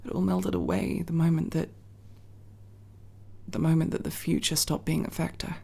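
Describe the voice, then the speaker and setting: A young woman playing a guarded but tender tomboy friend in an ASMR roleplay, speaking intimately and haltingly, with sincere, teasing, casually sweary delivery. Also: low-pitched, flat delivery, gravelly, mellow, breathy edge, heavily acted. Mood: guilty, confiding. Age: young adult. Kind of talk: ASMR roleplay, intimate one-sided dialogue.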